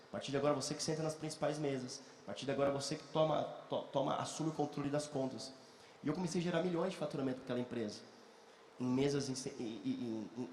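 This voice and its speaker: A man's voice: a little high, darkish tone, distant mic, slight background noise. A man in his thirties giving an earnest personal testimony to an audience, repeating phrases for emphasis. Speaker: guest speaker. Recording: echoey hall, mic from afar.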